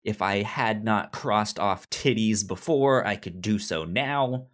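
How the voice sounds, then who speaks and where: A man hosting a casual review chat, speaking haltingly and often repeating or restarting his words. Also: on the low side. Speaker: podcast host.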